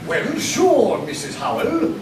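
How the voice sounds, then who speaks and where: A man's voice: high voice, huge pitch swings, slow pace, full character voice. A man playing a puzzled, plain-spoken sea captain in live comedy radio theatre in an echoey hall. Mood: baffled. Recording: echoey theatre, far off, loud noise.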